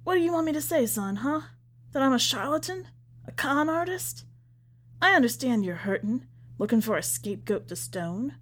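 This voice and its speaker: A woman's voice: sits high, very animated, moderate pace, pitched above the performer's own voice. A woman voicing a pious, folksy bearded male preacher in a prison story, evasive and sanctimonious. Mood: defensive, pleading.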